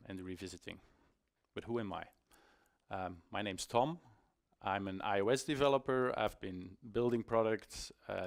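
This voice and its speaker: A middle-aged man giving an informal conference presentation in a conversational, self-deprecating, slightly halting way. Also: on the low side, clean tone. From Belgium. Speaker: conference speaker, iOS developer. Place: conference stage in a hall.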